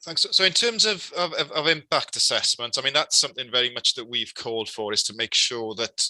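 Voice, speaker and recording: A man's voice: medium pitch, fairly quick, pushed and bright, dry recording, low-quality recording. A Welsh man, a farmer, giving evidence to a committee. He often repeats words and leans on fillers like "really" and "you know". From Wales. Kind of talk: formal testimony, spoken off the cuff.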